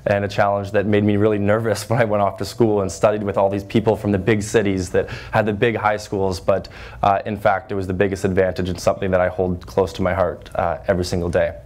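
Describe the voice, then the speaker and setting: A man in his twenties from Northern Ontario with a loud voice, giving an earnest talk to a high school class with lots of fillers and restarts. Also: little pitch movement, quick pace. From Northern Ontario, Canada. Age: 20s. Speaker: guest speaker. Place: high school classroom with chalkboards, audience seated.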